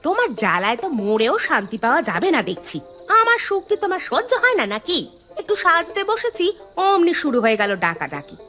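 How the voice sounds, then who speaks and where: A woman voicing a sharp-tongued, self-pitying, vain village wife in a fairy-tale cartoon, scolding theatrically. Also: sits high, wildly swooping pitch, brisk, faint music behind, heavily acted. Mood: irritated sarcasm.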